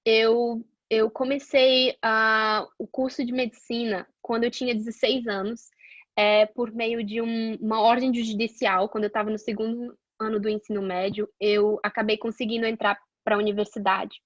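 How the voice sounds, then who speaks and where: A woman's voice: fairly high pitch, level tone, moderate pace, no echo, highs cut off. A young woman from Portugal telling her own career story in a casual, chatty way, with many fillers and restarts. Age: young adult. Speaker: guest scientist sharing her career path.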